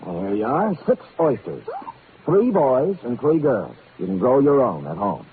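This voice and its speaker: An American actor playing a wry, hard-boiled private detective in a 1940s radio noir style, sardonic and clipped. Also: male voice, mid-range voice, big pitch swings, a little slow, noisy background. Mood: teasing. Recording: vintage radio, narrow phone-like band, music behind.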